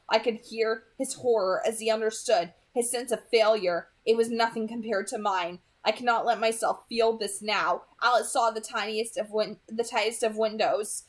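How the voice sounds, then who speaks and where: A woman reading a novel aloud as an amateur narrator, dramatically and in the first person. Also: medium pitch. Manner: stumbles over words and rereads phrases. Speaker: amateur audiobook reader.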